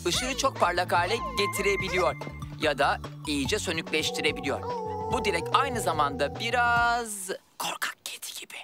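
A woman's voice: lowish voice, big pitch swings, normal speed. A woman voicing a thoughtful, earnest young boy who explains things expressively in a children's cartoon. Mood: explaining. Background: children's music.

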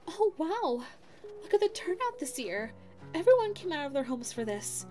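Woman doing a sweet lovestruck dog girl secretary, high voice, stammery and shy, asmr roleplay w faint music. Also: sing-song, moderate pace, a little mellow. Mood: amazed, cheerful. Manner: stammering, breathy asides, self-effacing. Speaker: voice actor.

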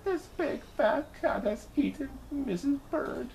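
A woman voicing an earnest, excitable male bird, a devoted husband in a storybook, very expressively. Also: high-pitched, slow, gravelly, a little hiss or hum, voice pushed up, exaggerated voice. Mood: distraught.

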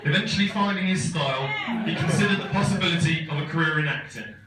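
A man's voice: on the high side, quick pace, very noisy. A man in his thirties giving a teasing best man speech at a wedding, with a dry joking delivery. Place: large wooden barn wedding hall. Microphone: phone camera far back in crowd. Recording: echoey hall from far off, laughing crowd.

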